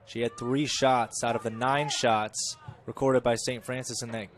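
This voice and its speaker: A man giving chatty live play-by-play of a college soccer match, correcting himself and rising in pitch and volume when the play gets dangerous. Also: steady tone, moderate pace. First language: English. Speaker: play-by-play commentator.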